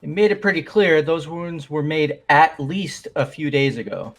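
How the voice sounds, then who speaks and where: A man's voice: on the high side, normal speed, a bit bright, soft background music. A man voicing a skeptical teenage boy classmate in a fan-voiced game. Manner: skeptical, challenging.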